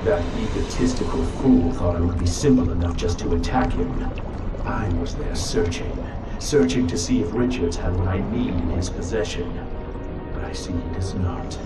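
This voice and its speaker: A low-voiced, imperious supervillain acted by a man holds forth with theatrical arrogance in a video game. The voice is grandiose and menacing, set back in an echoey space under loud music. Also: normal speed, distant mic, close to an everyday voice. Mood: brooding, grandiose. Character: arrogant, menacing supervillain sorcerer-scientist, grandiose and power-hungry.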